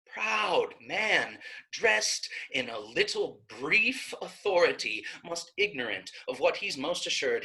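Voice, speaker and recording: Impassioned young maiden pleading, acted by a woman, wide-swinging pitch. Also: bright, forceful tone, heavily acted. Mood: indignant. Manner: impassioned, rhetorical verse delivery. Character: pleading young maiden Isabella, passionate and righteous. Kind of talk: Shakespeare staged reading.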